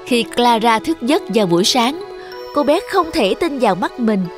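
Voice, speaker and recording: A woman narrates a children's fairy tale in a fairly high voice. The delivery is scripted storytelling, with music playing underneath. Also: animated, normal speed.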